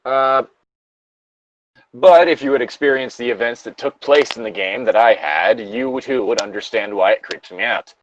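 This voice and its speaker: Guy joking around reading stories out loud, swears a lot, voice swings all over, slightly dull dry mic. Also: medium pitch, very animated, moderate pace, a little hiss or hum. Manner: sweary, joking, mock-indignant. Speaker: co-host reading video game creepypastas aloud. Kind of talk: casual comic banter and read-aloud commentary.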